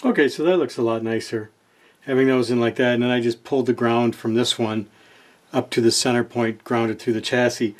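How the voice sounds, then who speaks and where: A man's voice: medium pitch, steady tone, a little hiss or hum. A man giving casual, unscripted narration of hands-on repair work, thinking aloud in laid-back phrasing, often trailing off and correcting himself. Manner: halting, trailing off and self-correcting mid-thought. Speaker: host of a repair channel, hobbyist radio restorer.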